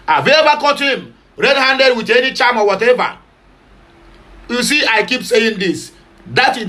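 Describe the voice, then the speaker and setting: A man's voice: sits high, bright, forceful tone. A man from southeastern Nigeria with a Nigerian Pidgin accent, giving passionate, opinionated news commentary. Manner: passionate, switching between Pidgin, English and Igbo.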